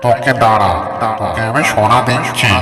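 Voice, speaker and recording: A man voicing a blustery, gruff ogre husband in a cartoon story, with emphatic delivery. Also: on the low side, a little fast, music in the background, close to an everyday voice. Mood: menacing. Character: gruff man-eating ogre husband, grateful yet menacing.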